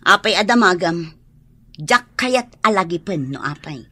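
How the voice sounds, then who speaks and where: A woman's voice: fairly low pitch, expressive, unhurried, pushed and bright, husky, exaggerated voice. A woman playing a resentful, scolding middle-aged mother in a Filipino radio drama. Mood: resentful, defensive.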